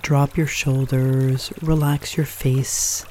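Slow, gentle man guiding meditation. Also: mid-range voice, level tone, slight background noise. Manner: gentle, unhurried, pausing between short phrases. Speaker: meditation guide. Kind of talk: guided meditation.

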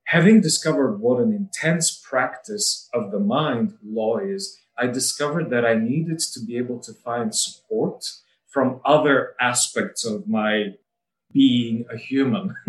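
A middle-aged man from Bulgaria speaks in a measured, articulate way, reflecting on his own path as a lawyer. His voice sits at an ordinary pitch. The sound is roomy and carries echo, with the mic placed some distance away. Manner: measured and articulate, occasional light laughs. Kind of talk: reflective personal account.

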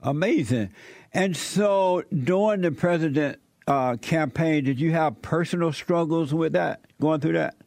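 A man's voice: on the high side, creaky, a little mellow, no room sound. Man interviewing in a dry close sound. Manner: brief affirmations, measured questions. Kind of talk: interview questions.

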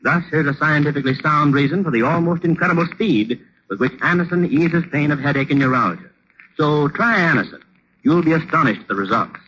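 A man's voice: on the high side, fairly quick, bright, forceful tone. An American man announcing a scripted 1940s radio advertisement in an earnest, persuasive manner. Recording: dull, noisy old radio recording.

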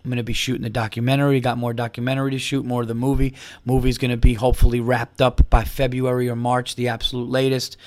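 A man's voice: mid-range voice, flat delivery, quick pace, a little mellow, dry recording. A man, a comedian talking casually on his own podcast in a rambling, upbeat way while losing his voice to a cold. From New York. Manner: rambling, upbeat, with restarts and plugs. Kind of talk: casual solo podcast chat.

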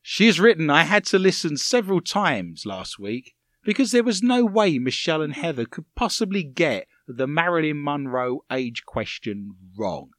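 A man's voice: a little high, big pitch swings, normal speed, few pauses, a bit bright, no echo, studio quality. A British man hosting a radio show with teasing, dryly jokey banter as he reads out listener messages.

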